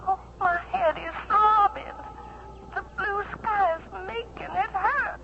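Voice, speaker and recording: A woman's voice: very high voice, creaky, full character voice. An actress playing an anguished, plaintive widow speaking her trapped inner thoughts in a 1970s radio drama. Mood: desperate pleading. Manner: plaintive, pleading, drawn-out. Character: anguished, paralyzed wealthy widow voicing silent thoughts. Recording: noisy, slightly dull vintage radio recording.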